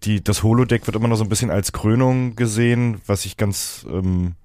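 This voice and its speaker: A man's voice: on the low side, steady tone, brisk, dark tone, no echo, studio quality. A man giving technical explanations in conversation as a guest.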